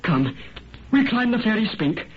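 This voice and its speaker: An actor playing an ardent, pleading young Irish farmer's son in florid old-time radio melodrama. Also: male voice, high-pitched, very animated, measured pace. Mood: impassioned, uneasy. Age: young adult. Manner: impassioned, florid. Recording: muffled, noisy vintage radio recording.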